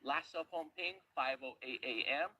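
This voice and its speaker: A man's voice: on the high side, moderate pace, bright, forceful tone, rough recording. A man presenting a search-dive video, explaining the details step by step like a briefing. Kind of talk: on-location video narration.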